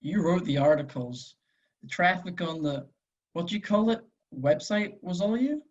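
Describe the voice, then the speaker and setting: A man's voice: sits high, expressive, moderate pace, lo-fi sound. A man playing an encouraging young journalist, insistent and coaxing, in an amateur script table read. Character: encouraging young male journalist, supportive drinking companion.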